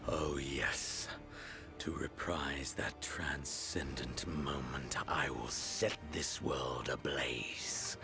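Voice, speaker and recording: A menacing male villain prince from a video game, bored and hungry for battle. His voice is extremely deep and very slow, languid and brooding, over clear background music. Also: steady tone, full character voice. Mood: languid menace. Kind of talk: dramatic video game cutscene acting.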